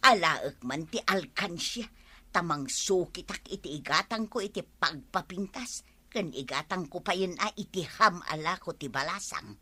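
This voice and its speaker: A woman's voice: lowish voice, animated, measured pace, pushed and bright, slightly rough, heavily acted. A woman with an Ilocano Filipino accent playing a vain, scheming housewife in a comedy radio drama. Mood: sly. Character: vain, scheming housewife keen on beauty products.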